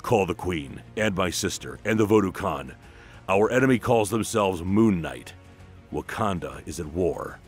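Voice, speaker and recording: A man voicing a calm, resolute young African king in a comic book retelling, speaking in a measured, solemn way. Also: deep voice, slightly rough, faint music behind, heavily acted. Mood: grim resolve.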